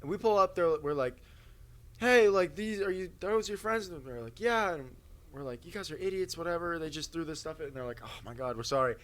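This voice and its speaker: A young American man with a bright, slightly high voice. He talks in casual slang like a buddy recounting an anecdote, with a boyish manner, into a stick mic. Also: animated, a little fast. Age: young adult. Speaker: podcast co-host. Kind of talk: casual anecdote telling among friends. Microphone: stick microphone.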